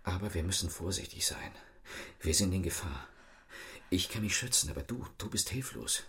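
An actor playing a tender, secretive gentleman thief who speaks in an intimate, earnest hush to his lover in a radio drama. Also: low-pitched, animated, normal speed. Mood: regretful, protective.

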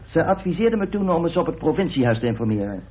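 A man's voice: fairly high pitch, big pitch swings, quick pace. An actor playing a practical, diligent investigator reporting his findings in a radio play. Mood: reporting, eager. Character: practical, diligent male club member reporting research findings. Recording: tinny old radio drama recording.

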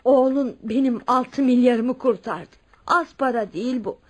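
A husky, kindly older lady. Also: fairly high pitch, a little slow, dull audio. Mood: insistent. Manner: motherly, addresses others as her children. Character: kindly, insistent older well-off lady living alone. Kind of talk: Turkish radio drama acting.

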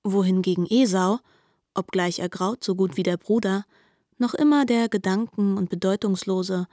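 A woman's voice: mid-range voice, moderate pace, dark tone, no room sound, clean studio sound, lightly acted. A woman narrating a literary radio drama in formal, articulate prose delivery.

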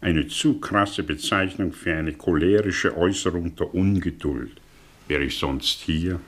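An actor playing a haughty, mocking intellectual professor in a German radio play, with elaborate, condescending phrasing. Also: male voice, sits low, a little slow, reverberant. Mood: haughty, irritated.

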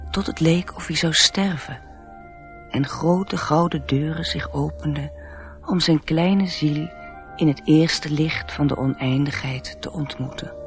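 A man narrating a radio play in a scripted, literary storytelling style. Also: a little high.

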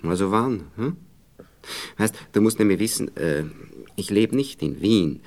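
A condescending Viennese man in a radio drama. His voice sits very low but swings widely as he talks. He cajoles haltingly and dodges, with a clear Viennese accent. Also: expressive, darkish tone. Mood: probing, coaxing. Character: evasive, condescending married Viennese man courting a young woman. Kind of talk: naturalistic radio play dialogue.

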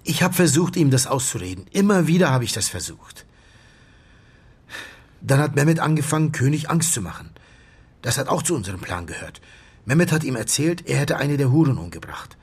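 An actor playing a grieving doctor in a radio drama, confessing in a halting, subdued voice that often sinks toward a whisper. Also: male voice, mid-range voice, big pitch swings, breathy edge. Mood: agitated confession. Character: grieving male doctor confessing to his friend's staged death. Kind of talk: German radio drama acting.

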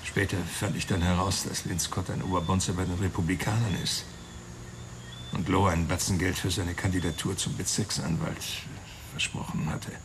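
Compromised male witness, crime radio play: low, creaky, subdued and halting, with clear background noise. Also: fairly even intonation. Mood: weary, confessing. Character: weary male witness confessing he was bought off. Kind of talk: radio drama dialogue.

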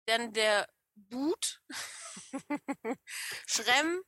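Bright-voiced German woman with regional dialect. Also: fairly high pitch, lively intonation, very slow, no room sound, studio quality. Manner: playful, reads chat names aloud, frequent laughs. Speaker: podcast co-host. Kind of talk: casual streamed podcast chat.

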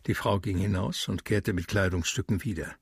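Very husky, low-voiced male storyteller. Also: fairly even intonation, normal speed, no echo, clean studio sound. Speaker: radio drama narrator. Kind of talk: dramatic literary narration.

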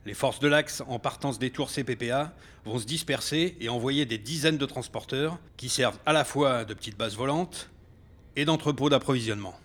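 An actor playing a serious, matter-of-fact rebel leader in a science fiction audio drama. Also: male voice, medium pitch, normal speed, a bit bright, lightly acted. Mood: serious, businesslike. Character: reproachful male rebel leader briefing fighters.

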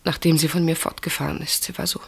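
A husky, anxious, pleading woman. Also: low voice, flat delivery, fast talker, full character voice. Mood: desperate pleading. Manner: urgent, pleading, breathy. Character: anxious, pleading woman fearing for her sister. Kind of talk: German radio play acting.

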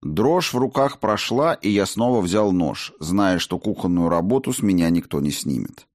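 Male narrator, deep register, dry sound, measured and instructive scripted book reading. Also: animated, a little mellow, dry recording. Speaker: audiobook narrator.

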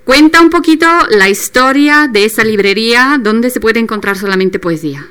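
Smooth-voiced woman radio host. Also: on the high side, animated, normal speed, fairly bright tone. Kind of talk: radio interview.

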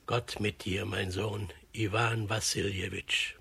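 Very raspy, gentle old father. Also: lowish voice, little pitch movement, takes it slowly, full character voice. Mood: moved, tender. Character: gentle, devout ageing peasant father. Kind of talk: children's radio play acting.

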